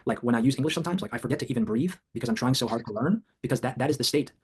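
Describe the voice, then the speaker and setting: Man host, quick delivery, husky tone, eager and chatty in a group talk. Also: mid-range voice, expressive, a little mellow. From China. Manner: enthusiastic, says "like" often. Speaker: workshop host. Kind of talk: casual group discussion.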